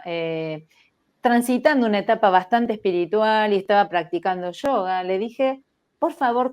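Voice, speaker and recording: A woman, telling her story as a podcast guest in a reflective, measured way that livens up when she quotes herself. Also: medium pitch, darkish tone. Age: 60s. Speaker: spiritual therapist guest.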